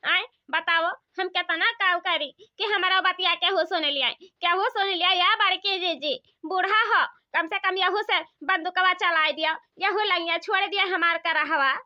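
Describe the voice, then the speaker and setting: A woman's voice: very high-pitched, fairly even intonation, normal speed, few pauses. A woman playing an aggrieved, complaining village woman in a comic cartoon, with an Awadhi accent. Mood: exasperated. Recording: dull lo-fi cartoon soundtrack.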